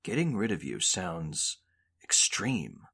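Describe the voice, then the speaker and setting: Earnest male reporter played by an actor, low husky voice, mock-documentary narration, tongue-in-cheek. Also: huge pitch swings, slow pace, pushed and bright, heavily acted. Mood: inquisitive.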